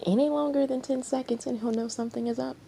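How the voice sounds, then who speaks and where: Woman acting out a smug, scheming woman, pitched up. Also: fairly even intonation, fast talker, vocal fry, mellow, slightly rough, full character voice. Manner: taunting, smirking delivery.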